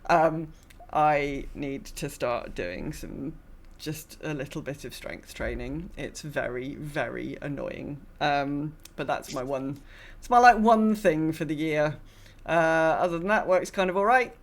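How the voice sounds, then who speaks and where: A woman in her forties with a slightly low voice talks casually as a podcast co-host. She rambles along expressively, circling back on her thoughts with a self-deprecating streak. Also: unhurried. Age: mid-forties. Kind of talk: casual chat.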